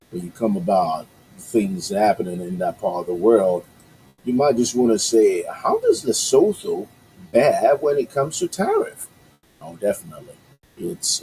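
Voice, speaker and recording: A man's voice: on the low side, dark tone, a little hiss or hum, rough recording. A male radio host whose first language is Yoruba, chatting casually on a morning show and rambling with lots of fillers and restarts. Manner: rambling, many fillers and restarts, upbeat asides.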